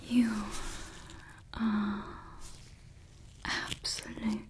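A woman whispering slowly as a doting female scientist who builds an android, murmuring to herself and coaxing it with praise. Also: mid-range voice, level tone. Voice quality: whispering, breathy. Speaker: ASMR roleplay creator. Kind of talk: ASMR roleplay.

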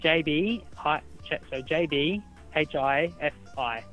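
A man's voice: a little high, slow pace, a bit bright, faint music behind. An Australian man working in a store, answering a customer's phone call in an informal, obliging way. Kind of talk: casual customer service phone talk.

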